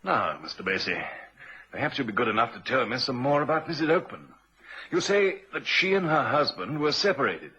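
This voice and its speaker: A man's voice: mid-range voice, big pitch swings, brisk, sharp tone, husky, full character voice. A man playing a probing, unsentimental police inspector in a vintage radio drama, with an authoritative, matter-of-fact way of talking. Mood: businesslike. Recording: dull, muffled vintage radio recording.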